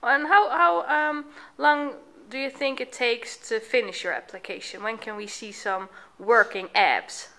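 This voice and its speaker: A woman's voice: on the high side, measured pace, hardly any pauses, pushed and bright. A woman, a teacher interviewing her students and rephrasing their answers back to them. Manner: friendly prompting questions, rephrases answers back. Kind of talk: casual on-site interview.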